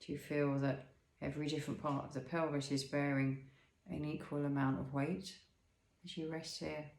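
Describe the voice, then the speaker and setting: A man leading a guided body scan as an instructor, speaking in a soothing, unhurried way with pauses and gentle questions. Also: fairly high pitch, level tone, creaky, echoey room, rough recording.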